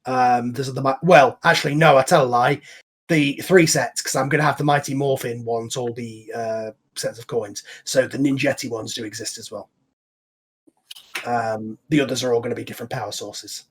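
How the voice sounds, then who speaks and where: A man's voice: medium pitch, fairly even intonation, normal speed, slightly rough. A man hosting a casual group chat online, talking informally and with enthusiasm. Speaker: host and series creator. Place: remote online voice call.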